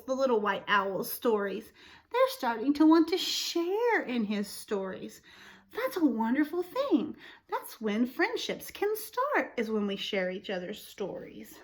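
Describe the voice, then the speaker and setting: Lady doing kids storytime, high voice, slow n really singsongy, chats to the little listeners. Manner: expressive, asks the listener questions, explains simply. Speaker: storytime reader for young children. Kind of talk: read-aloud picture book storytelling with explanatory asides.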